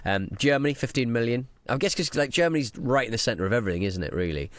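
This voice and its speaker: An Englishman with a mid-pitched voice chats on a podcast, hesitant and laid-back, dropping dry jokes. The recording is close and dry, with no room around it. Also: animated, quick pace, no room sound. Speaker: podcast co-host. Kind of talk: casual podcast chat.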